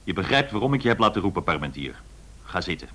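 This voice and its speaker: A businesslike airline director in a radio play. His lowish, husky voice is curt and commanding as he questions and instructs a subordinate. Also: sing-song, quick pace, pushed and bright, exaggerated voice. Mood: brisk, commanding. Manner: curt, authoritative, probing.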